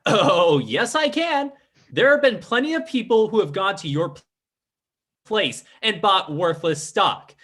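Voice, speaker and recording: An actor playing a scheming, defiant fake psychic in a live old-time radio drama, with a theatrical, formal delivery. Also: male voice, sits high, very animated, a little slow, fairly bright tone, exaggerated voice. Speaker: stage actor in radio play.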